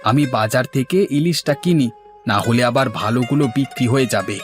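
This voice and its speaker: A man's voice: medium pitch, brisk, close to an everyday voice. A man voicing an easygoing, food-loving young man in a cartoon folktale. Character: easygoing young man who loves his aunt's cooking. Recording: music bed under the voice.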